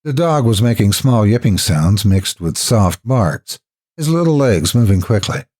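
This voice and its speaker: A man narrating scripted horror with deliberate, theatrical phrasing, as a storyteller and host. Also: on the low side, very animated, darkish tone. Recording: music behind the voice. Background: background music.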